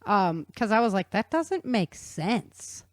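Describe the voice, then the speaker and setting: A woman's voice: medium pitch, very animated, takes it slowly, no room sound, clean studio sound. A woman hosting a talk show, explaining science in a chatty, informal way and checking in with her listener.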